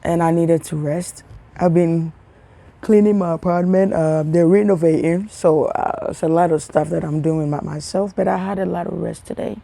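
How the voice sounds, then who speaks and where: Gravelly, mellow-voiced woman talking casually. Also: fairly low pitch, steady tone, normal speed. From Africa. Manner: rambling, repeats words, drops into hushed tones. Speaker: spiritual healer vlogger. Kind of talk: casual spiritual talk to camera.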